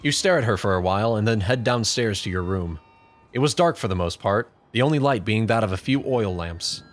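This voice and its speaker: A man's voice: lowish voice, animated, a little fast. A man reading scripted second-person story narration as the narrator of a fan fiction drama.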